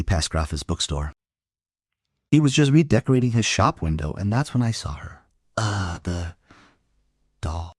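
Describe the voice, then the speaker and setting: A hard-bitten detective from a crime radio play. His voice sits very low and husky, and he recounts the case in a measured, brooding way, recorded close and dry. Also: dry recording. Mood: musing, grave. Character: grim, hard-bitten male police investigator recounting a case. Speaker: narrator and lead investigator. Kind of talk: crime audio drama narration and dialogue.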